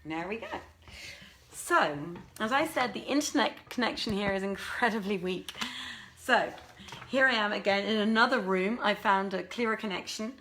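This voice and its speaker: A woman with an expressive, courteous manner, working as a live story reader with theatrical, carefully phrased delivery. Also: medium pitch, normal speed, slight background noise. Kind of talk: theatrical storybook reading and informal livestream talk.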